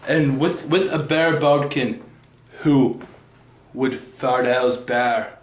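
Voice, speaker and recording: A man playing a brooding tragic prince, reciting a Shakespearean soliloquy in a halting, stumbling way. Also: medium pitch, close to an everyday voice. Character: brooding young tragic prince, contemplative and despairing. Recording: echoey room.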